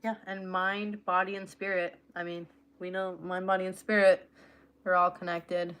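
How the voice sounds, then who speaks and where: A woman's voice: on the low side, level tone, moderate pace, a bit bright, clear, resonant tone. Chatty woman hosting a livestream. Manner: chatty and affectionate with viewers, laughs easily. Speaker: livestream host. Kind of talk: casual livestream chat and reading aloud.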